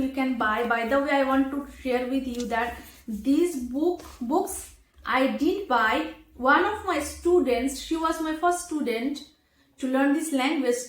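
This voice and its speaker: High-pitched woman teacher, slow and deliberate. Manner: deliberate, carefully enunciated, pausing between phrases. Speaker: English teacher, host. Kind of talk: instructional talk to camera. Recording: echoey, roomy sound.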